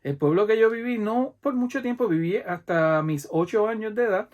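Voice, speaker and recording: Animated Puerto Rican man, high voice. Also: moderate pace, lo-fi sound. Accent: Puerto Rican Spanish. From Puerto Rico. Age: 40s. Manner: animated, imitates gunshots and action with mouth sounds. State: sweaty from the heat. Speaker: vlogger reviewing a film. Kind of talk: casual monologue retelling a movie.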